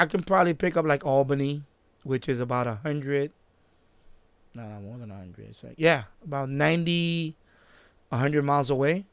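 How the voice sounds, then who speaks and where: A man chatting off the cuff on a live stream, halting and repeating himself as he circles back to his points. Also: on the high side, vocal fry, clean tone, no room sound. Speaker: live-stream host, radio hobbyist. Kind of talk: casual unscripted live-stream chat.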